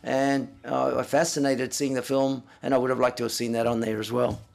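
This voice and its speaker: A man interpreting live at a press conference, speaking haltingly and often correcting himself as he renders others' words in first person. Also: mid-range voice, flat delivery, gravelly, darkish tone.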